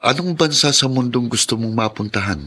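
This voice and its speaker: A man's voice: mid-range voice, brisk, bright, forceful tone. A man playing a modest, cautious young Filipino student and caring grandson in a radio drama, earnest and a little doubtful. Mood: tender, earnest.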